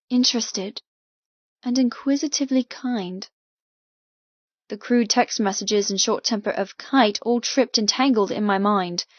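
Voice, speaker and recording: A woman reading first-person fiction as an audiobook narrator, with dramatic, measured storytelling. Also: mid-range voice, no echo, muffled recording.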